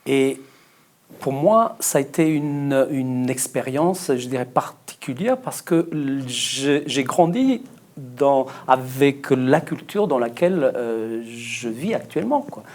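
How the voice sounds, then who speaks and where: A man from Portugal taking part in a Bible study discussion, speaking thoughtfully with many pauses and fillers. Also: mid-range voice, lively intonation, darkish tone. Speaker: discussion participant.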